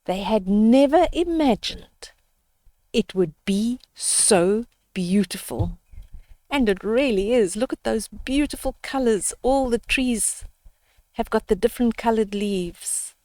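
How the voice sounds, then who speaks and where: A woman's voice: a little high, expressive, slow pace, darkish tone, no echo. An older woman reading a children's story aloud, expressively, with explanatory asides and questions to young listeners.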